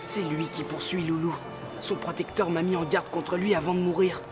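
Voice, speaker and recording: An actor playing a kind, protective young boy, the earnest and gentle hero of a cartoon. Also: mid-range voice, steady tone, moderate pace, close to an everyday voice. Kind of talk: French animated series acting. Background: music.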